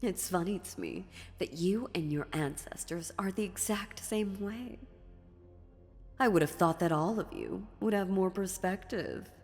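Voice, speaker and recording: A woman playing an ancient, sly and dominant female demon in a dark fantasy audio roleplay, taunting theatrically with deliberate pauses. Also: fairly low pitch, lively intonation. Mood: musing, scornful. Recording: long reverb, music underneath.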